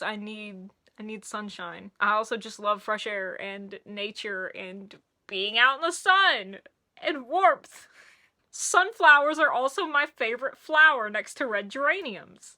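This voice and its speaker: A woman who is losing her voice hosts a casual song review to camera, gushing and excitable, often restarting her sentences. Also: fairly high pitch. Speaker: music reviewer and video host.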